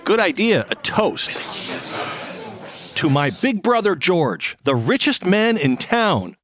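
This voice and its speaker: A man playing a proud, warmhearted younger brother in an old-time style radio drama. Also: high voice, big pitch swings, slow, a crowd in the background, exaggerated voice. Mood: proud, celebratory.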